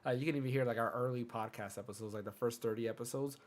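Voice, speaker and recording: A man's voice: medium pitch, steady tone, racing. Chatty man with a cold, rambling. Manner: chatty, rambling, self-interrupting. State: hoarse from a cold. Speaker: podcast host. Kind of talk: casual gaming podcast chat.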